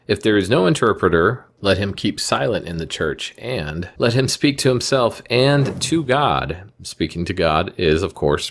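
A man's voice: sits low, vocal fry. A man hosting a commentary video, speaking in a careful, methodical way and reading scripture aloud.

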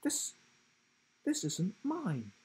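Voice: medium pitch, very animated, a little slow, a little mellow, pitched above the performer's own voice. A storyteller voicing a bewildered young schoolboy who stammers and sounds unsure. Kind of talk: storybook character voice.

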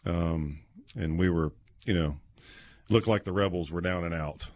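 A man, telling stories casually and haltingly as a guest on sports radio. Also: low-pitched, darkish tone. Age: 50s. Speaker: guest, former college quarterback. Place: small padded radio studio. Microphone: close studio microphone with headset.

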